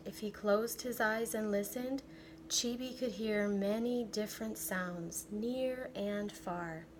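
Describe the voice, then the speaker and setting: An American woman reads a children's story aloud in a fairly high voice. Her delivery is very slow and deliberate, with expressive phrasing, like a teacher reading to a class. There is some light noise in the background.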